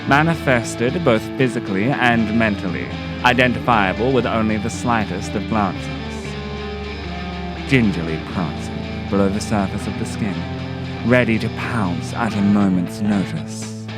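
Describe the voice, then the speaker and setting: Low-voiced man narrating theatrically over music. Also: a little slow, rough recording. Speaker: video essay narrator. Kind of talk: scripted narration, dramatic horror-tinged monologue and video essay.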